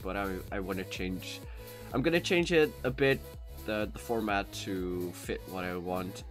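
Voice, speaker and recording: A Dutch man with a Dutch accent, chatting casually while live-streaming a game, rambling with many fillers and restarts into a cheap USB mic. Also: low-pitched. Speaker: gaming streamer. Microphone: cheap USB microphone. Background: soft background music.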